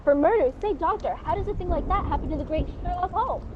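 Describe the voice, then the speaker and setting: A woman's voice: very high-pitched, lively intonation, fast. A woman playing a cheery, eager male announcer in 1940s radio style, chummy and prompting, on a dull, noisy recording.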